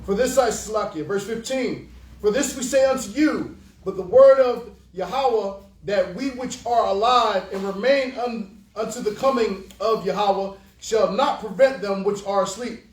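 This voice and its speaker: High voiced guy reading bible verses out loud, roomy sound, far from mic. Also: measured pace. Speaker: scripture reader. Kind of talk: reading scripture aloud. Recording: echoey room, distant mic.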